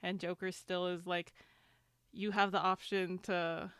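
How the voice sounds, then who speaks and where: Female podcast panelist. Crystal-clear tone, close dry sound, loose conversational delivery. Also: fairly low pitch, level tone, measured pace, a bit bright, dry recording. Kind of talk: casual chat.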